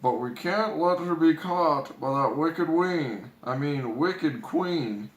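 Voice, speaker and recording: A man's voice: fairly high pitch, slow pace, reverberant, pitched above the performer's own voice, rough recording. A man voicing a kindly storybook dwarf leader who gets flustered and stumbles over words. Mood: flustered concern. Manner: flustered, self-correcting spoonerisms.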